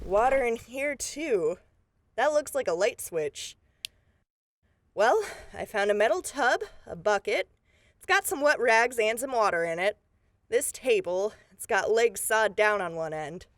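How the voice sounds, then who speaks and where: A woman's voice: fairly high pitch, animated, unhurried, no echo, studio quality. A woman playing a businesslike, take-charge deputy investigator in a radio drama, plain-spoken and matter-of-fact. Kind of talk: scripted radio drama acting.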